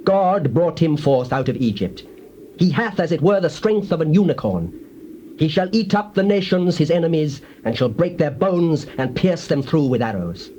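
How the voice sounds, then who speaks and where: An actor playing a solemn, defiant prophet in a dramatized audio Bible, declaiming grand oracles like incantations. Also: male voice, on the high side, animated, moderate pace, dark tone, highs cut off, close to an everyday voice. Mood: solemn, prophetic. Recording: hissy old cassette tape.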